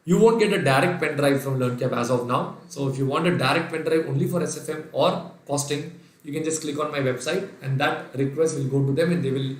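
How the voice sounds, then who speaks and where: A man's voice: a little high, brisk, echoey room. Indian man teaching a live class. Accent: Indian English. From Kerala, India. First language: Sindhi. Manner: dictates steps, reads out viewers' names and chats to them. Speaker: finance lecturer. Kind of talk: live online revision lecture.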